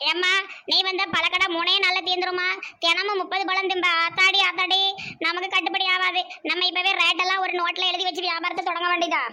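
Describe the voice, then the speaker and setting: A very high, bright female voice put on by a woman plays a loud village fruit seller in a comic cartoon. She speaks colloquial southern Tamil Nadu dialect and is cheeky, grumbling and theatrical. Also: flat delivery, fairly quick, exaggerated voice. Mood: exclaiming.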